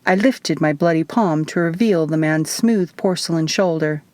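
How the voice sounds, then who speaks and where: A woman's voice: sits low, normal speed, a little mellow, dry recording. A woman narrating first-person fiction as an audiobook reader, in a scripted, storytelling style.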